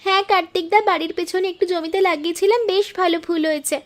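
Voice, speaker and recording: A woman's voice: very high-pitched, fairly even intonation, quick pace. A woman voicing earnest, hopeful young flower-selling sisters in a moral-story cartoon, speaking politely.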